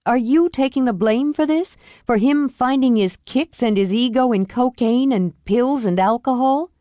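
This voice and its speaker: A woman's voice: mid-range voice, expressive, unhurried, mellow, no echo, pitched above the performer's own voice, lightly acted. A woman voicing a protective older sister who speaks firmly, with an angry, urgent edge.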